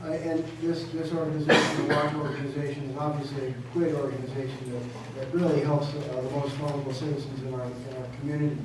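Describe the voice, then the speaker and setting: A man's voice: medium pitch, little pitch movement, fast talker, hardly any pauses. An older man from Massachusetts giving an informal, hesitant campaign introduction with wry humor. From Massachusetts, United States. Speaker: city council candidate, attorney. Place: large indoor public forum room. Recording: echoey hall, distant mic, background noise.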